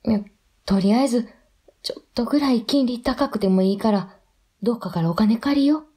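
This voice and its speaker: A woman's voice: fairly high pitch, a little fast, warm tone, slightly rough. An actress playing an anxious, supportive wife and mother worn down by poverty, with a Kansai accent. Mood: pleading.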